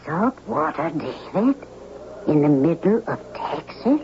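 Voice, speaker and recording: An actress playing an anxious, protective housekeeper in a 1970s radio drama, with a fretful, earnest manner. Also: female voice, low-pitched, big pitch swings, takes it slowly, heavily acted. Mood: shaken. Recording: dull, faintly noisy 1970s radio recording.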